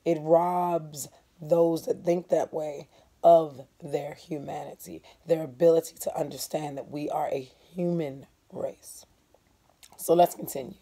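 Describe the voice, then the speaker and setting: Casting: woman teacher-narrator, hoarse and dark-toned, emphatic, deliberate delivery of a dramatic book reading. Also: deep voice, steady tone. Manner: deliberate, emphatic, pausing on key words. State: hoarse from laryngitis or a cold. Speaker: teacher reading a novel aloud.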